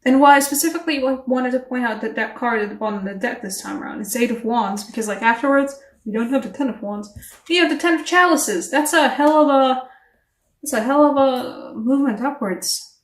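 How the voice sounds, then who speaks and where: A woman giving a casual tarot reading to camera, chatty and animated, English not her first language, laughing at herself between rambling asides. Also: high voice, brisk, recorded from a distance. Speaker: tarot reader. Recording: echoey room, voice a bit off-mic.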